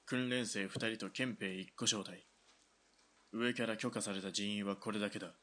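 A man's voice: lowish voice, fairly even intonation, normal speed, bright, forceful tone. A man playing a stern Japanese army lieutenant colonel in a radio drama, curt and commanding. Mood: firm. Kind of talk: hobby radio drama acting.

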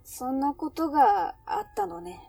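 A woman's voice: high voice, lively intonation, measured pace, vocal fry, mellow, recorded from a distance, faint music behind, exaggerated voice. A woman playing an inquisitive, sympathetic cafe regular and confidante in a Japanese audio drama. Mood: curious.

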